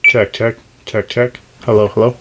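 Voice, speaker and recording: A man's voice: lowish voice, little pitch movement, moderate pace, very noisy. A man giving a casual, hands-on gadget demonstration as host, talking in a hesitant, unscripted, self-deprecating way. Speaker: host demonstrating radio gear.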